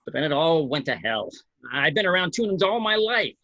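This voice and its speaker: A man's voice: on the high side, sing-song, normal speed, pushed and bright, no echo, dull audio. A man playing a nervous cartoon studio boss who pleads urgently in an amateur script read. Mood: desperate pleading.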